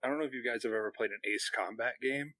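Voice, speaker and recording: A man's voice: medium pitch, quick pace, pushed and bright, no room sound. A man chatting casually and informally as a podcast co-host. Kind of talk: casual gaming chat.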